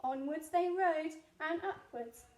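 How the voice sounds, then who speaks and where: A woman reading a picture book aloud to young children as a nursery storyteller, expressive, with chatty asides. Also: high-pitched, slow pace, echoey room. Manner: expressive, child-directed, gasps of wonder.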